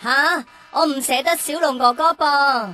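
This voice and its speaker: Eager little boy for kids radio drama, super high and bright, naive and excitable. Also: measured pace, faint music behind. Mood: pleading, attached. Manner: childlike, excitable, pleading. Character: eager, naive little boy, star-struck would-be kung fu pupil. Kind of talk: children's radio drama acting.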